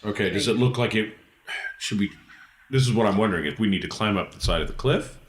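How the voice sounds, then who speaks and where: Male player in a tabletop game, lowish voice, casual chatty delivery, faintly noisy recording. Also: quick pace, roomy sound.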